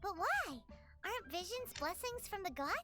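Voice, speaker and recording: A performer voicing a small, chirpy female fairy companion with a high, excitable delivery. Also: normal speed, over music. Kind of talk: game voice acting.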